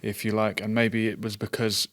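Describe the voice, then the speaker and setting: A man's voice: fairly low pitch, little pitch movement, normal speed, a bit bright. A man on a debate panel answering a point in a conciliatory, agreeable way.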